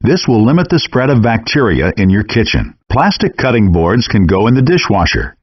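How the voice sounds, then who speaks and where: A man with a low, husky voice narrates instructions for a cooking game. His delivery is measured and instructive, yet his intonation moves up and down a lot. The sound is dry, dull and compressed, like handheld game audio. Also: sing-song, no room sound.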